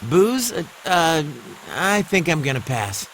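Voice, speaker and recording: A man voicing a brash, moody high school boy in an anime game, talking in casual, expressive slang. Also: takes it slowly, a little hiss or hum, heavily acted, poor audio. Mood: dismissive.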